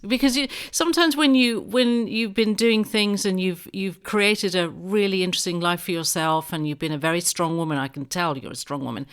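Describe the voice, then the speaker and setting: A woman's voice: medium pitch, fairly bright tone. Encouraging woman interviewer. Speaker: radio show host. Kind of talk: interview questions.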